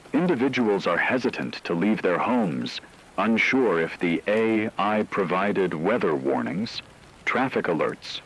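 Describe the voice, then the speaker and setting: A man playing a grave radio news anchor in a scripted drama, speaking in a measured, formal way over a noisy background. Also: deep voice, breathy edge, exaggerated voice. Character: grave male radio news anchor reporting a global AI crisis.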